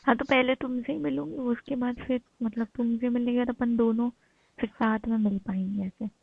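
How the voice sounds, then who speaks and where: A woman's voice: fairly high pitch, fairly even intonation, a little fast, gravelly, no room sound. A young woman in a casual, intimate phone chat with her partner, speaking in an insistent, pouty way. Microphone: phone call. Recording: tinny narrowband phone line.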